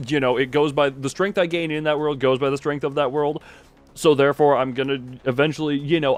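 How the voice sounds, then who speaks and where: Rambling man streaming over game music. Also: medium pitch. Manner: rambling, thinks aloud, stammers on word starts. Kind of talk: casual live-stream commentary, reading game text aloud.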